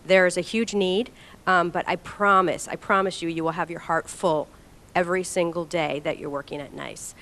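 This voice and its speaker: A woman's voice: lowish voice, fairly even intonation, normal speed, a bit bright, a little hiss or hum. A woman from a resettlement agency giving an informal, enthusiastic talk to recruit volunteers, with frequent ums.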